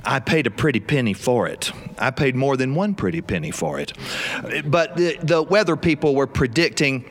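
A man's voice: mid-range voice, very animated, moderate pace. A man from South Carolina with a Southern US accent, telling a humorous story live in a performance studio in a folksy, theatrical, wry way. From South Carolina, USA.

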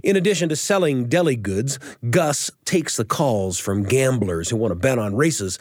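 A man's voice: medium pitch, expressive, normal speed, darkish tone, no echo. A man hosting a radio show, chatting and explaining as he retells a story in storytelling style from a studio. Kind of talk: animated storytelling commentary on an old radio show. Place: dry studio.

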